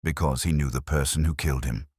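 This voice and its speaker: A man voicing a brilliant, composed detective in an audiobook story, speaking in a measured, cryptic and deliberate way. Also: very deep voice, steady tone, husky, dry recording, clean studio sound. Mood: measured, certain.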